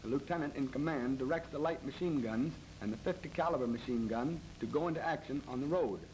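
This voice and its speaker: An American man narrates a military training film in a formal, measured, matter-of-fact 1930s instructional style. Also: mid-range voice, a little mellow. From United States. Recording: noisy old 1930s film soundtrack.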